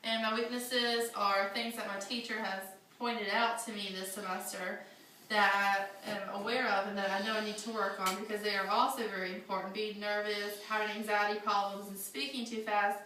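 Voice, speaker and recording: Young female student, nervous but earnest speech delivery; bright voice, far camera, echoey classroom. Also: medium pitch, slight background noise. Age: young adult. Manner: earnest, slightly nervous, with fillers.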